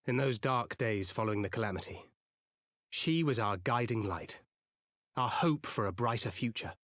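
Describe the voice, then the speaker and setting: An actor playing a solemn, protective adventurer haunted by regret in a fantasy game, speaking in an earnest, measured way. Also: male voice, mid-range voice, a bit bright, dry recording, clean studio sound, natural voice. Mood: tender, wistful. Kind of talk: fantasy video game cutscene acting.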